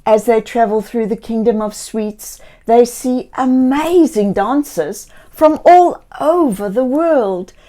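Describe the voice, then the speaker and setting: A woman reading a picture book aloud to young children in a theatrical way, slipping into hushed tones and exclamations and gently questioning her listeners. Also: on the high side, slow, few pauses. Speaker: children's storybook reader.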